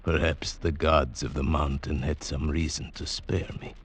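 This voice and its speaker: An actor playing a humble, weary mountain guide who survived, speaking in a solemn, halting old radio drama manner. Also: male voice, a bass voice, little pitch movement, breathy edge, full character voice. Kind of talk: 1940s radio acting.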